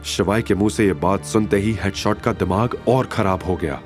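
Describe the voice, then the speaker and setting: A man with a fairly low voice narrates a dramatic audio story. His delivery is scripted storytelling. Soft music sits behind him. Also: normal speed.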